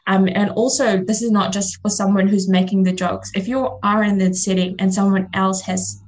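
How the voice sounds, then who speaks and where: A woman hosts a casual, reflective radio talk. Her first language is Fiji Hindi and her voice sits at a middle pitch. She explains herself in loose steps, often checking in with "you know". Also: little pitch movement, moderate pace. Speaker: radio show host.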